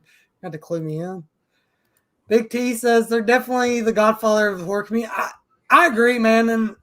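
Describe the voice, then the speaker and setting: A man's voice: high-pitched, bright, forceful tone. A man hosting a casual podcast and reading out live chat, emphatic and profane, with frequent restarts.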